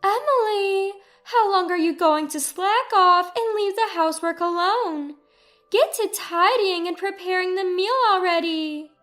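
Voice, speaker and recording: A woman's voice: extremely high pitch, measured pace, fairly bright tone, soft background music, voice pushed up. A woman voicing a haughty, scolding older mother-in-law in a dramatized family story, accusing and indignant. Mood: bossy.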